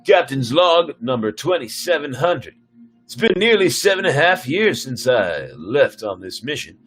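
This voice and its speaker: A man's voice: mid-range voice, very animated, moderate pace, fairly bright tone, faint music behind. A man playing a stern, sardonic starship captain with a dry, commanding manner in a sci-fi story reading. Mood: formal.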